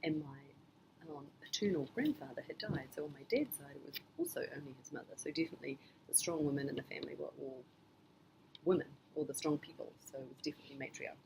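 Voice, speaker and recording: A middle-aged woman from New Zealand, answering her child's interview questions in a thoughtful, measured way with frequent pauses and ums. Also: low-pitched, expressive, gravelly, poor audio. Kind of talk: casual family interview.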